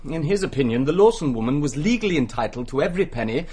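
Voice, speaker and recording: A man's voice: fairly high pitch, big pitch swings, fast, darkish tone, slightly rough. An actor playing a flippant, roguish young upper-class Englishman in a radio drama, breezy and charming.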